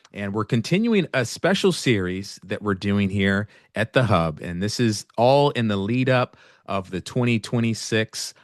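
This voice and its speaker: Podcast host guy from oakland, lowish voice, dry close sound, friendly measured questions. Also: dry recording. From Oakland, California. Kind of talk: interview podcast.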